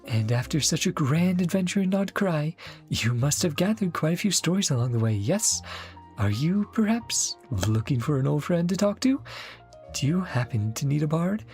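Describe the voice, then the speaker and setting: A man's voice: on the high side, animated, moderate pace, darkish tone, faint music behind. A man voicing a playful, poetic bard from a game, speaking theatrically and affectionately to an old friend. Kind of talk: reading game dialogue in character.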